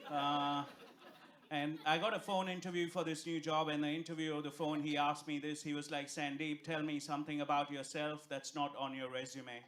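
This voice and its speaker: A man's voice: a little high, flat delivery, moderate pace, a bit bright. A young man from India with an Indian accent, doing a stand-up comedy set on stage with brash, profane punchlines. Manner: brash, profane punchlines over audience laughter. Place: comedy club stage before a live audience. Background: audience laughter.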